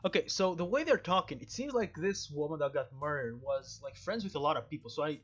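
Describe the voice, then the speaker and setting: A man with a husky voice casually commentating as he streams a game. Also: fairly high pitch, expressive, fairly quick, a bit bright, muffled recording. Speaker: let's play streamer. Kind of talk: casual game commentary, reading dialogue aloud.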